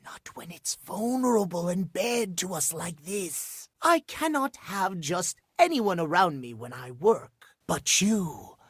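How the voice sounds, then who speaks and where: A fastidious, tightly wound gentleman from a video game, speaking slowly in a breathy, close and dry voice. His courtly, formal delivery is earnest and theatrical. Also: sits high, lively intonation, dry recording, full character voice. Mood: tender, earnest. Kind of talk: video game character acting.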